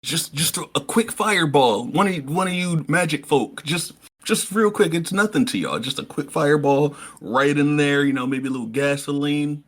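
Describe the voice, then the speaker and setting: A man playing a tabletop game, chatting casually in a coaxing manner with halting repetitions. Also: fairly high pitch.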